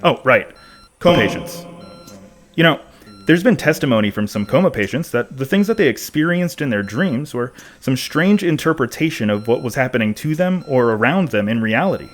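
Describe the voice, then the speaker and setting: Actor as a pensive mid-voiced podcast host. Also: male voice, mid-range voice, expressive, normal speed, lightly acted. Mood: pensive, conversational. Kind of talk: conversational scripted monologue in audio drama.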